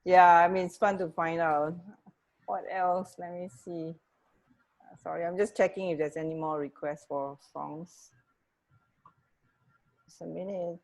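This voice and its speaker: Slightly low-pitched woman chatting casually. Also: fairly even intonation, a little slow, vocal fry, long pauses, a little mellow, rough recording. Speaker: radio show co-host. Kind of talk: casual chat. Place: indoor room, video call.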